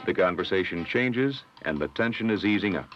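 Low-voiced American man narrating, dull old soundtrack. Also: lively intonation, soft background music. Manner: measured, instructive. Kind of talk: scripted 1960s educational film narration.

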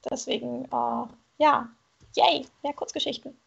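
A woman's voice: high voice, fairly even intonation, a little slow, gravelly, slightly rough. A woman chatting casually as a participant in a group livestream over a video call. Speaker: stream participant. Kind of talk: casual chat. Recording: slightly dull video-call sound.

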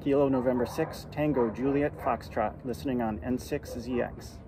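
A middle-aged American man making amateur radio calls and talking casually to camera outdoors, spelling out call signs deliberately. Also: medium pitch, steady tone. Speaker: amateur radio beginner, vlogger. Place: outdoors in a yard and porch. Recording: outdoor background noise.

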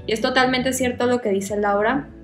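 A young woman with a Mexican accent hosts a makeup review in a fairly high voice. She speaks casually and with enthusiasm. The room adds clear echo, and music plays behind her. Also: steady tone, moderate pace. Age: young adult. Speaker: beauty reviewer and video host. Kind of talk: makeup product review to camera.

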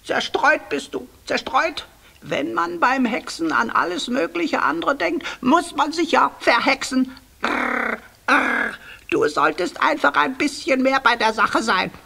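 An actor playing a cheeky, know-it-all talking raven in a children's audio drama, with a raspy croak and a teasing, scolding manner. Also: sits high, wildly swooping pitch, measured pace, sharp tone, heavily acted. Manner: scolding, teasing, repeating words. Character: cheeky, wise talking raven, mocking male bird.

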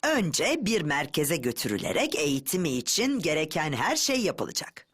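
A woman's voice: low-pitched, big pitch swings, normal speed, fairly bright tone, slightly rough, full character voice. A woman playing a polite but firm social services officer in a dubbed cartoon, formal, placating and insistent. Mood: placating, persuasive.

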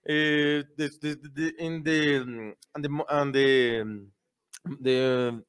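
Young guy, slow halting english, keeps hunting for the word, bit high voice. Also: fairly even intonation, fairly bright tone, clean tone. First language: Spanish. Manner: halting, searches for words. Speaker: football analyst. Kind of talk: casual football chat. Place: small indoor studio with a backdrop.

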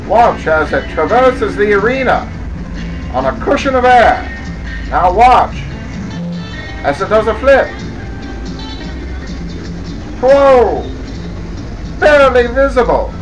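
A man's voice: very high-pitched, sing-song, takes it slowly, long pauses, music in the background, poor audio. A man narrating a parody old-time newsreel in a mock-grandiose announcer delivery.

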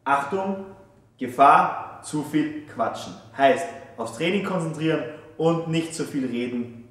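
A man's voice: mid-range voice, far from the mic. A young man coaching gym tips in an upbeat, emphatic way, with short punchy headlines, recorded in a large empty gym. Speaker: fitness coach. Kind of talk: scripted tips presentation. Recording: roomy echo of a large hall.

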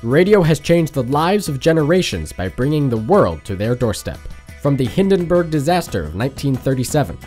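Young man, documentary narrator, animated intonation, guitar music behind. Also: medium pitch, fairly quick. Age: young adult. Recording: music bed under narration.

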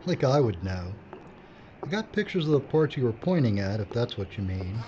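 A man's voice: mid-range voice, sing-song, normal speed, dark tone, natural voice. An actor playing a wry, unflappable cameraman in an audio drama. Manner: wry, laid-back. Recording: dull, noisy audio drama recording.

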